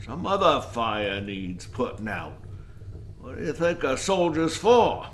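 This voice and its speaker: A man's voice: medium pitch, sing-song, unhurried, slight background noise. A man playing a weary, wary old lighthouse keeper in an audio drama, with a folksy drawl. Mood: weary, resigned. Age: older.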